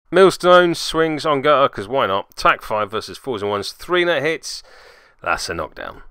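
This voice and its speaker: A man's voice: mid-range voice, pushed and bright, clear, resonant tone, no room sound. A man narrating a tabletop game match he plays in, with dry, self-mocking, sarcastic humour. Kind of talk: voice-over game commentary.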